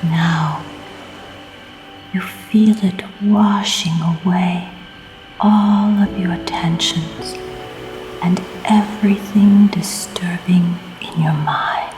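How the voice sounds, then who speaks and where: Female meditation narrator: soft, half-whispered and soothing, lowish pitch, clearly audible music behind, long pauses between phrases. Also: steady tone. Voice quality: soft, breathy, often near-whispered. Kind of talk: scripted guided meditation.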